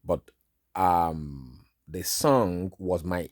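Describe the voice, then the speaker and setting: Nigerian man, Igbo native speaker, low voice, slow talk, informal sermon, lots of "you know", dry close sound. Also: no echo. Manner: frequent fillers, repeats "all right" and "you know". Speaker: preacher sharing a dream.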